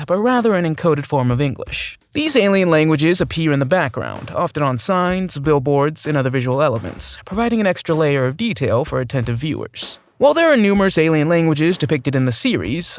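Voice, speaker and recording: A man reading scripted narration for a list video, presenting facts and putting questions to the viewer. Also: fairly high pitch. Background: music.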